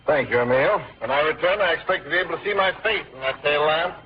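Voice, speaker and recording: An actor playing a proud, bossy, jaunty father in a 1950s radio comedy-drama, brisk and pompous. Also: on the high side, animated, natural voice. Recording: noisy, dull old radio recording.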